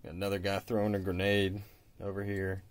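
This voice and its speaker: A man in his thirties, a bit raspy from a cold, calmly talking through a miniature painting tutorial with plenty of fillers. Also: low-pitched, a little fast. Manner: relaxed, hesitant with fillers, explains while working. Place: hobby shop workbench indoors.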